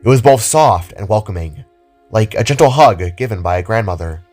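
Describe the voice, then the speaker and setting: A man's voice: fairly low pitch, lively intonation, fairly quick, a little mellow. A man giving scripted narration as the storyteller of an audio drama. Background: background music.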